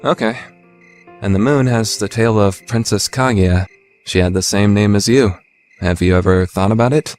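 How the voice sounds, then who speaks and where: A man's voice: fairly low pitch, normal speed, a little mellow, soft background music, lightly acted. A man playing an earnest teenage student council president who explains things with boyish enthusiasm, in an anime-style radio play. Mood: excited.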